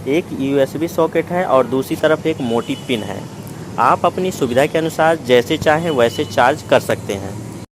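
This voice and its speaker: A man's voice: medium pitch, unhurried, background noise. A man narrating a product unboxing in a methodical, explanatory way, step by step. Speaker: product reviewer.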